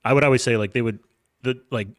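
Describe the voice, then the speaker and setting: A man from Wisconsin chatting casually as a podcast co-host, enthusiastic and quick to laugh. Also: mid-range voice, steady tone, no room sound, clean studio sound. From Kenosha, Wisconsin, USA. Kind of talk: casual chat.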